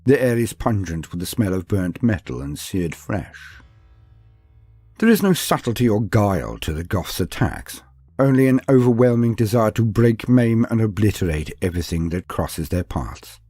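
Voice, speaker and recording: A man's voice: lowish voice, big pitch swings, normal speed, warm tone, slightly rough, no room sound. A man reading scripted fantasy battle lore as a narrator, with a grave, theatrical delivery. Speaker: narrator of a fantasy war-game lore video. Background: music.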